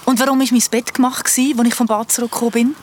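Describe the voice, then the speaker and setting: A woman's voice: on the high side, little pitch movement, very fast, a little mellow, a little hiss or hum, close to an everyday voice. A woman with a Swiss German accent playing a shaken, insistent young traveller who narrates her ordeal in a radio drama. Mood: indignant, insistent.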